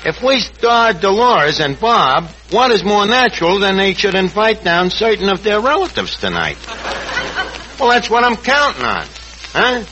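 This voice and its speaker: A man's voice: sits high, sing-song, a little slow, bright, forceful tone, muffled recording, exaggerated voice. An actor playing a brash, scheming New York tavern manager who mangles his words pompously in a 1940s radio comedy. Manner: pompous malapropisms and slangy grammar. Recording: noisy old 1940s radio recording.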